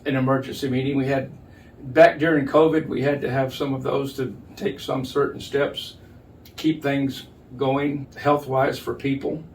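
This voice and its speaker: Older man, halting, slightly distant mic. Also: medium pitch, little pitch movement. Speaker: county judge, interview guest. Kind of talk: explanatory interview answers. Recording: distant mic, noticeable background noise.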